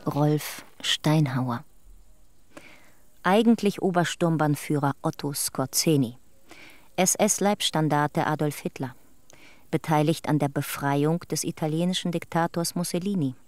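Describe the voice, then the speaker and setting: A male narrator played by an actor delivers clipped, documentary-style captions in a radio play. His voice is a little higher than most men's, the manner terse and factual. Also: a little slow, no echo, lightly acted. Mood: factual, wry.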